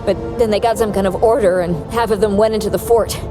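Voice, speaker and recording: A woman's voice: mid-range voice, fairly even intonation, fast talker, lightly acted. Actress as shaken, earnest woman crew leader over music. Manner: earnest, plain-spoken. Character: shaken but determined female construction crew leader.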